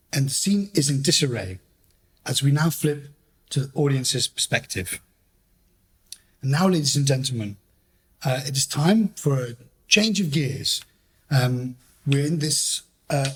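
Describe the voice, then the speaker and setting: A fairly high, rough-edged male voice from an actor plays a grand master of ceremonies. He is pompous and declamatory, addressing a theatre as a self-styled poet in a radio play. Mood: announcing. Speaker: host.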